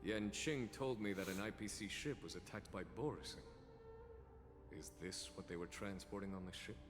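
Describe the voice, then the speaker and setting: Male actor as stern game commander: low voice, formal, deliberate, unyielding, music underneath. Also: in a large hall, natural voice. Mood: cool, courteous. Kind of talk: video game character dialogue.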